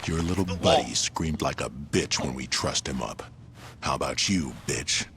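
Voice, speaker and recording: An actor playing a huge, brutal biker gang boss in a video game, with a heavy, threatening, taunting delivery. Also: male voice, normal speed, fairly bright tone, slight background noise. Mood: cruel taunting. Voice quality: very low, heavy. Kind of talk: video game cutscene acting.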